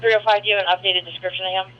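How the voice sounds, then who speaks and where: Fast, high-pitched male radio dispatcher. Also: little pitch movement, sharp tone. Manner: clipped, procedural. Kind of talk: terse radio dispatch, repeating reports back. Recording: noisy, muffled police radio channel.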